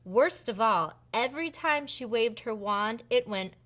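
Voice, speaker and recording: A woman reading a picture book aloud for preschoolers in an expressive storytelling manner. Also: on the high side, measured pace. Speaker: children's storybook reader and host.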